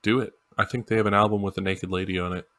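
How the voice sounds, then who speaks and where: A man's voice: on the low side, flat delivery, racing, fairly bright tone, no room sound. A man co-hosting a podcast, chatting casually and informally about music. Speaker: podcast co-host.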